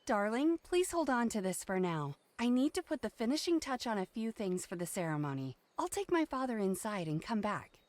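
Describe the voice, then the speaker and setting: Fairy-tale game: affectionate young bride, gentle, earnest, lightly high and very expressive. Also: female voice, brisk, hardly any pauses. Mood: reassuring. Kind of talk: fantasy game voice acting. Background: music.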